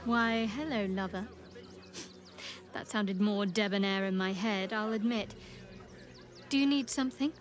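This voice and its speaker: A woman playing a young cleric of a dark goddess in a video game, devout and guarded, with a sly, teasing, measured way of talking. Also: mid-range voice, soft background music, close to an everyday voice. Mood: coy, teasing. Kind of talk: video game character dialogue.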